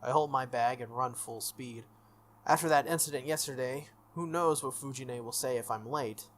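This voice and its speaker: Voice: mid-range voice, normal speed, slight background noise. A let's-play host reading a visual novel aloud and adding offhand, chatty commentary. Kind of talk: scripted reading with casual commentary. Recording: music under the voice. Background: soft game music.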